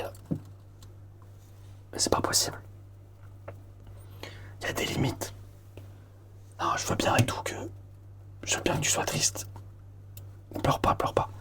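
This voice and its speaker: Young man, whispered comic roleplay, breathy hush, slangy, teasing.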